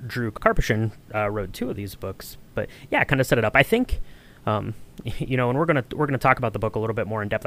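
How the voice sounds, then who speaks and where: A man co-hosting a podcast, explaining background in a casual, conversational way. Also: fairly low pitch. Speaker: podcast co-host. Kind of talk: casual discussion.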